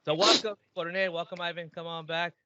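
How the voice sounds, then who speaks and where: A man's voice: a little high, steady tone, fairly quick, dull audio, heavy background noise. A man hosting a podcast, chatting loosely with slang and Spanish words mixed in. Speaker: podcast host. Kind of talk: casual chat.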